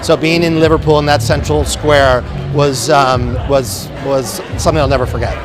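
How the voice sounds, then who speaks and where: A man, a film producer, speaking excitedly in a red carpet interview, with frequent fillers and hesitations. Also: mid-range voice, normal speed, rough recording. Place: outdoor premiere red carpet. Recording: outdoor premiere with crowd and music.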